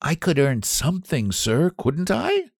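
A man's voice: a little high, huge pitch swings, measured pace, vocal fry, breathy edge, no echo, studio quality, pitched above the performer's own voice, exaggerated voice. A man voicing a small, brave and polite poor shepherd boy in a storybook reading. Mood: pleading. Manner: pleading, polite.

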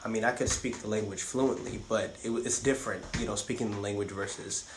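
A young man from Ethiopia talks loosely in an easygoing chat. He fills his talk with "like" and restarts his sentences. His voice sits a bit low, with a faint hiss behind it. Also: steady tone, fast, reverberant. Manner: loose and rambling, heavy use of "like". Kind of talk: casual chat.

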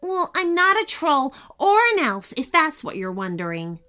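Woman playing a plucky high-voiced young girl. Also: sing-song, moderate pace, pushed and bright, exaggerated voice. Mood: earnest. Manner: frank, emphatic. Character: plucky, earnest, polite young human girl.

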